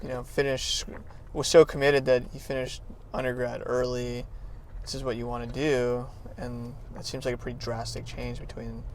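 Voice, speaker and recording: A man's voice: medium pitch, level tone, moderate pace, few pauses, slight background noise, rough recording. A man co-hosting a podcast, a lawyer asking his partner curious, prompting questions. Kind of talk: interviewing his co-host.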